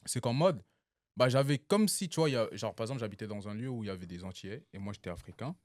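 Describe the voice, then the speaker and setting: Young guy from cameroon, chill slangy chat, gets animated telling stories, dry close sound. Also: lowish voice, fast talker, no echo. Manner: animated storyteller, laughs, street slang. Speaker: guest. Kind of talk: casual chat.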